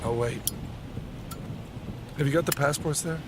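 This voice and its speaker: A man's voice: a little high, a little slow, background noise, natural voice. A man playing a tense, protective husband on the run in an audio drama. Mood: subdued, wary. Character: tense, protective husband fleeing with his family. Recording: loud music, rain and engine behind.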